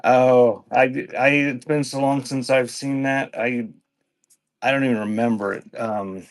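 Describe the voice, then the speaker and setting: A man's voice: medium pitch, steady tone, unhurried. A man hosting a live online sale, chatting informally and thinking aloud, often trailing off mid-sentence. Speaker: live online auction host selling cassette tapes. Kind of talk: casual rambling livestream chat.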